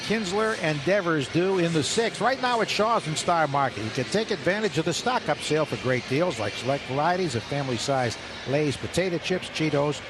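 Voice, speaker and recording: A male radio play-by-play announcer with an American accent calling a baseball game, measured and lifting on big plays. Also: a little high, animated. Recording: radio broadcast with crowd noise behind.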